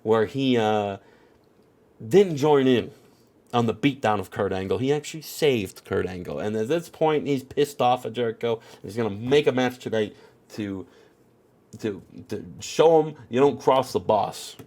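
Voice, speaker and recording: A man's voice: mid-range voice, animated, unhurried, darkish tone. Stuffy-nosed man rambling through game commentary. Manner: rambling, heavy on "you know" and "at the end of the day". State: stuffy, congested nose. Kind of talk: casual commentary on a wrestling sim.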